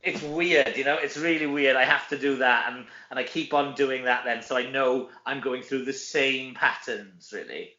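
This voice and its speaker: A Welsh man, an actor being interviewed, chatting casually in an animated, self-deprecating, joking way. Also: mid-range voice, normal speed, hardly any pauses. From Wales. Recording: dull, echoey room sound.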